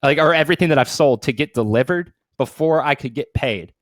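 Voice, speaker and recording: A man's voice: fairly high pitch, expressive, a little fast, a bit bright, no room sound, clean studio sound. A man in his thirties chatting casually as a podcast co-host, informal and swearing freely with lots of dude slang. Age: 30s. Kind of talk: casual chat.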